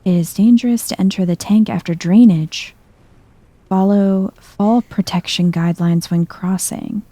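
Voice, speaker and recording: Chill lady doing asmr game commentary, really mellow and hushed, slow pace, kinda husky. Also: steady tone, dry recording. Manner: chill, low-key, thinking aloud. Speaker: video game streamer. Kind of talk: soft-spoken ASMR gameplay commentary.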